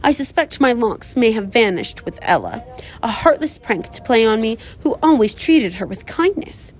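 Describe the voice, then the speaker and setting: A woman's voice: on the high side, very animated, normal speed. A woman reading aloud as a vain, gossipy young woman with an affected, preening manner.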